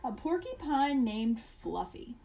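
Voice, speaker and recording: Slow, high-voiced woman reading a bedtime story. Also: creaky, slight background noise. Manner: expressive, deliberate reading with dramatic emphasis. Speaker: storyteller reading a picture book aloud. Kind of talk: read-aloud children's bedtime story with casual asides.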